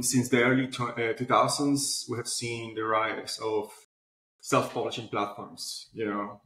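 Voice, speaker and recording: A man's voice: fairly low pitch, a bit bright. Man chatting in an echoey room. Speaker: interview guest, platform founder. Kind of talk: casual conversational storytelling. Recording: roomy, echoing voice pickup.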